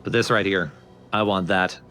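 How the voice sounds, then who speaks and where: A man's voice: low voice, fairly even intonation, moderate pace, sharp tone, slight background noise. A man giving casual, explanatory gameplay commentary in an informal way. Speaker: let's play host and player.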